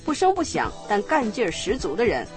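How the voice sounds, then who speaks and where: A woman narrating and explaining a scripted language lesson in a clear, explanatory manner. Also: fairly high pitch, huge pitch swings, fairly quick, faint music behind.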